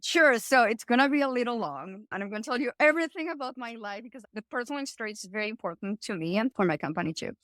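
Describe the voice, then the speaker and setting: A woman from Colombia with a Colombian Spanish accent, a Spanish native speaker, telling her life story as a podcast guest in long animated runs with casual asides. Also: fairly high pitch, quick pace, hardly any pauses, dry recording. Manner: animated, talks in long runs with asides like "right?" and "you know". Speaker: guest, startup founder.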